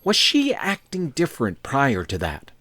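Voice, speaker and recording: A woman's voice: rumbling low, wildly swooping pitch, a little slow, fairly bright tone, no room sound, exaggerated voice. A woman playing a businesslike, probing investigator questioning staff in a comic sci-fi audio drama.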